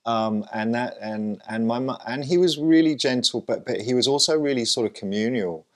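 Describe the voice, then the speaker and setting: A man born in Lebanon, talking as an interview guest, slow to find his words and often restarting with fillers. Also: mid-range voice. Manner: hesitant, many fillers and restarts. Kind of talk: conversational interview.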